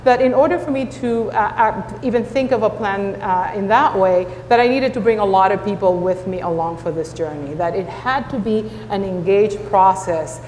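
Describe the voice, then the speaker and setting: A woman's voice: mid-range voice, moderate pace, few pauses, a little mellow. Spanish-accented woman, echoey hall. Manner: earnest, many filler restarts. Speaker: school superintendent. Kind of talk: panel discussion. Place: conference hall. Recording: echoey hall with faint noise.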